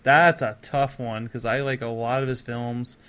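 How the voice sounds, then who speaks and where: A man's voice: mid-range voice, steady tone, normal speed, fairly bright tone, smooth. A man hosting a podcast in a casual, jokey, enthusiastic chat style. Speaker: podcast host.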